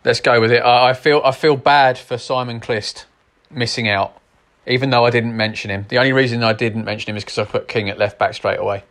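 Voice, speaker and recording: Podcast guy, pretty monotone, thinking out loud. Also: medium pitch, a little fast. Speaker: podcast co-host. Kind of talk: casual chat.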